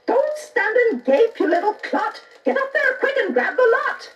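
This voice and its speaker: A woman's voice: extremely high pitch, big pitch swings, moderate pace, far from the mic, dull audio. A woman voicing an exasperated storybook mother who scolds her son shrilly. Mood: greedy glee.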